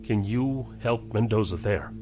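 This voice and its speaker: An actor playing an urgent, worried starship captain in a science fiction audio drama. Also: male voice, fairly low pitch, unhurried, darkish tone, distant mic, faint music behind, lightly acted. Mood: alarmed, questioning.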